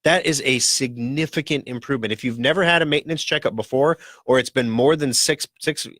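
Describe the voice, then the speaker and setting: A man hosting a casual talk radio show in a rambling, joking way, his voice rough and scratchy from a cold. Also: medium pitch, a little fast, a bit bright, dry recording, studio quality.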